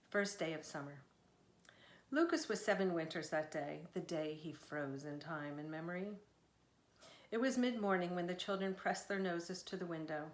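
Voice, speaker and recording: A woman author reading narrative prose from her book in a measured, expressive storytelling manner. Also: lowish voice. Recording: echoey room sound.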